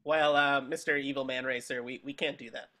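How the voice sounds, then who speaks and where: A man voicing a naive, excitable young sea-sponge hero fanboy in a cartoon table reading. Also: medium pitch, a little fast, crowd noise, natural voice. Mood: hesitant. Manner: excitable, earnest.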